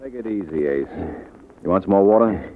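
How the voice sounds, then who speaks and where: Wry, low-voiced radio private eye. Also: male voice, animated, fairly quick. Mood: probing. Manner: wry, confident. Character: wisecracking, cocksure male private detective. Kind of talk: vintage radio detective drama acting. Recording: muffled narrow-band old radio, noisy.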